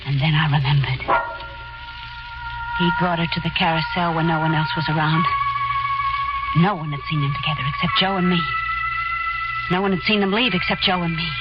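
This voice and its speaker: Brief: jittery young carousel girl, halting speech, dull 1940s radio with loud score. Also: on the low side, wildly swooping pitch. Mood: reflective. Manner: hesitant, halting. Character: young woman carousel attendant, nervous narrator. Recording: lo-fi 1940s radio broadcast with music.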